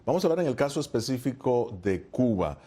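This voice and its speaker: A man's voice: medium pitch, very animated, fairly quick, warm tone. A man hosting a studio news interview, formal and steering the talk with leading questions. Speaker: news host.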